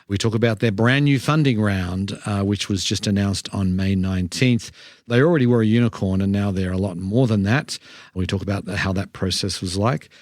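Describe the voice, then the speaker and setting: A man's voice: on the low side, a little fast, a little mellow, no echo, studio quality. A man hosting a podcast, reading an upbeat introduction and then asking interview questions. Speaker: podcast host.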